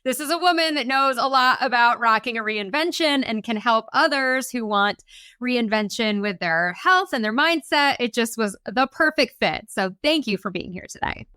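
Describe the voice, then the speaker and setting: Upbeat lady podcast host, high voice, real bright and crisp. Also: animated, moderate pace, no echo, clean studio sound. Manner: upbeat and enthusiastic. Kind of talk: casual interview chat.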